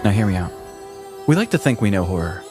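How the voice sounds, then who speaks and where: A man's voice: fairly low pitch, very animated, quick pace, music in the background. A man co-hosting a podcast, telling stories in a casual, comedic way. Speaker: podcast co-host. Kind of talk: casual comedic storytelling chat.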